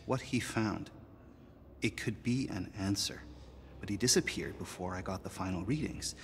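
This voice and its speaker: A man's voice: lowish voice, normal speed, long reverb tail, a little hiss or hum, lightly acted. An actor playing an earnest, guarded man in a dramatic video game cutscene. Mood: defensive, urgent. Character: earnest, guarded male insider confessing secrets.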